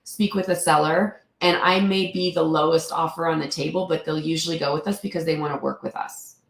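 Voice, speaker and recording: A woman's voice: sits low, steady tone, fairly quick, creaky, roomy sound. A woman investor in a casual interview, sounding throaty after a cold, talking earnestly with many fillers. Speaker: real estate investor guest.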